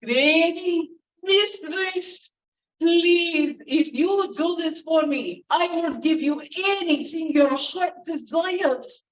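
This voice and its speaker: A woman's voice: extremely high pitch, slow pace, voice pushed up. A woman voicing a rich male baron who pleads eagerly. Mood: pleading. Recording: roomy, distant, dull sound.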